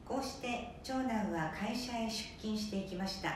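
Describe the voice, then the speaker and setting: A woman narrates a radio drama in a very echoey room, a little far from the mic. There is faint noise around her mid-pitched voice. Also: normal speed.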